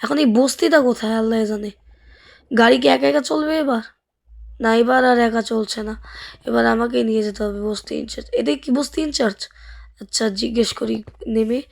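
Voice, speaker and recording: A woman's voice: a little high, moderate pace, creaky. A woman with a Bangladeshi accent giving casual, chatty gameplay commentary, thinking aloud and asking questions as the host. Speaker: gaming video host.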